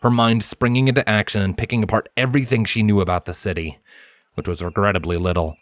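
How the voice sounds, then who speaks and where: A man's voice: fairly low pitch, steady tone, fast, dry recording. A man reading scripted story narration as the narrator of an audiobook. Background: soft music.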